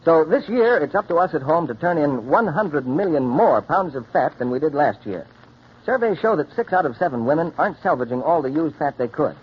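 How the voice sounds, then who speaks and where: A man's voice: fairly high pitch, slightly rough. A male radio announcer reading a scripted 1940s public service announcement in a persuasive, instructive way. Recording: dull old radio recording.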